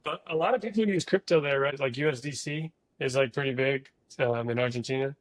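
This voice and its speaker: A man's voice: mid-range voice, brisk, no room sound, low-quality recording. Easygoing man, dry close sound. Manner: relaxed, interested questioner. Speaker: podcast host. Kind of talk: casual podcast chat.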